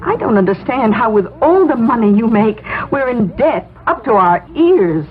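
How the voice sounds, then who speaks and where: A woman's voice: on the high side, big pitch swings, moderate pace. An actress playing a weary, fussing suburban wife and mother, complaining and probing about money in a 1970s radio drama. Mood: fretful, complaining. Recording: tinny, slightly noisy 1970s radio.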